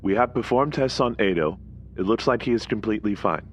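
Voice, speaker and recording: An actor playing a matter-of-fact hospital staffer in an audio drama, speaking formally and clinically. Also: male voice, on the low side, lively intonation, a little fast, slight background noise, lightly acted. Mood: clinical, businesslike.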